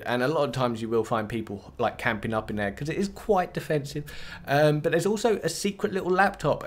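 Brief: guy, mid-pitched, eager gameplay commentary, lots of ums and likes. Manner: enthusiastic, rambling with many fillers. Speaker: gaming video creator.

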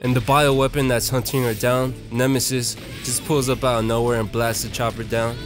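A man's voice: mid-range voice, flat delivery, moderate pace. A young man narrating a casual, opinionated video essay in a slangy, profane and irreverent manner. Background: music.

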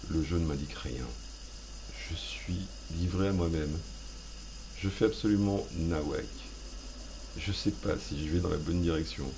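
A man's voice: low voice, unhurried, noisy background. A man hosting a gaming video, rambling through self-mocking, playful commentary as he plays. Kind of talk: joking let's play commentary.

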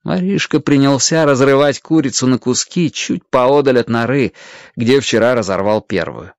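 A man narrating a scripted children's story in a measured, expressive way. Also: medium pitch, dry recording.

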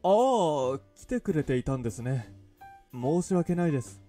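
Brisk, polite club president. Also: male voice, on the high side, big pitch swings, mellow, faint music behind. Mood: apologetic. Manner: formal, polite, explanatory. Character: polite, earnest moray-eel school club president. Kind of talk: visual novel character acting.

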